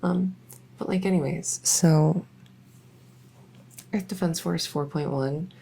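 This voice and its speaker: A woman's voice: deep voice, creaky, mellow, slight background noise. A woman playing a shy, lonely, socially awkward vampire who wants friends, talking in a hesitant, rambling way in an ASMR audio roleplay. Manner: hesitant, halting, rambling, full of self-corrections.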